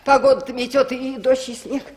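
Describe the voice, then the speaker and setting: A woman's voice: high-pitched, fairly even intonation, a little fast, darkish tone, natural voice. An actress playing a fussy, anxious middle-aged village woman with folksy chatter in a radio play. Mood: fretful chatter. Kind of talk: 1960s Soviet radio play acting.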